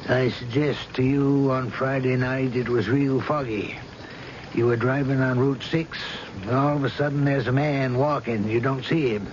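A man's voice: mid-range voice, steady tone, moderate pace, lightly acted. A man playing a suspicious, insistent police chief interrogating a suspect in a radio drama. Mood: accusing, pressing. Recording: dull, noisy old radio broadcast.

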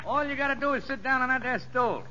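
A man's voice: extremely high pitch, fast, sharp tone, slight background noise, heavily acted. An American man playing a cocky, nosy veteran convict safecracker with brash, slangy wisecracks in old radio comedy-drama style. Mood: brash, teasing. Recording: muffled old radio broadcast.

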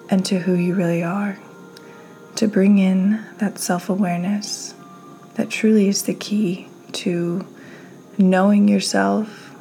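A woman leads a guided meditation in a soft, calm voice. Her pace is slow and her pitch stays very even. The tone is mellow and gentle, often close to a breathy whisper. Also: rough recording. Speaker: podcast host, meditation guide.

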